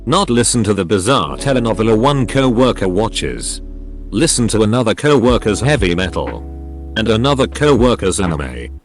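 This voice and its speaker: Low-voiced man reading over music. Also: big pitch swings, normal speed. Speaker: narrator reading online posts. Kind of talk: reading forum posts aloud. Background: background music.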